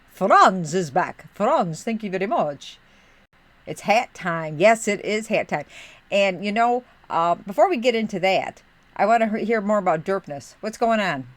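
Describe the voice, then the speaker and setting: A woman's voice: medium pitch, no room sound. A woman hosting a livestream in a homey mom persona, rambling and motherly as she answers her chat. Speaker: livestream host reading chat.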